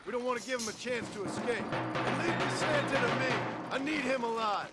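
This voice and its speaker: An actor playing a tough, terse American gunslinger with a gravelly, raised voice. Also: male voice, extremely high pitch, a little fast, loud music behind the voice. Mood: determined.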